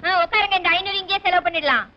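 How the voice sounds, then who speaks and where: A woman's voice: very high-pitched, very fast, highs cut off, heavy background noise. An actress playing a cheeky young woman who pulls pranks and teases mockingly in an old film. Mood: teasing. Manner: teasing, mock-apologetic, dramatic.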